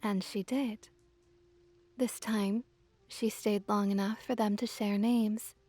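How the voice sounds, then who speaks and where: A woman voicing a gently dominant, teasing kitsune witch in an intimate ASMR roleplay, speaking softly and close, often near a whisper. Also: medium pitch, steady tone, no echo. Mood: gentle wistful storytelling. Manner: soft, breathy, slow cooing delivery, often near whisper. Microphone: close ASMR microphone.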